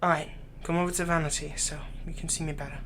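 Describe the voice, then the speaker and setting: A man playing a doting, lightly teasing fantasy villain captor in an ASMR roleplay, speaking softly and tenderly, coaxing the listener without hurry. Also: fairly high pitch, little pitch movement, a little hiss or hum, close to an everyday voice. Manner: soft, coaxing, unhurried, tender.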